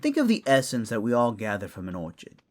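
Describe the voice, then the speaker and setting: A man acts out a clawed, furry fantasy steward with a very animated, mid-pitched voice that rises and falls freely. He is a theatrical, scholarly tinkerer, given to grumbling and long explanations. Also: fast talker. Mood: earnest storytelling. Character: furred, clawed male creature, a roguish scholarly artifact-tinkering steward. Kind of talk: fantasy audio drama acting.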